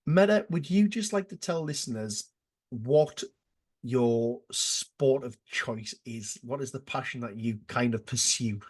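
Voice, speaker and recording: A man's voice: mid-range voice, slow pace, breathy edge. A man hosting a podcast interview, asking questions eagerly, reacting with lots of "wow" and stumbling over his words as he restarts. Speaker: podcast host.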